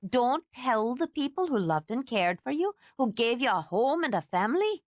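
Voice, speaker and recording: A bossy older housekeeper, brisk and fiercely fond, scolding in a lively voice that swings high when she gets worked up. A woman puts her on in a storybook reading. Dry, close sound. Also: no room sound.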